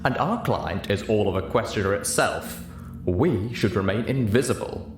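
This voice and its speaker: A man's voice: fairly low pitch, expressive, measured pace. A man voicing an elegant, refined female unicorn, controlled and politely disdainful, in an audiobook story. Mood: politely cutting. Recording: roomy echo, clear background hiss.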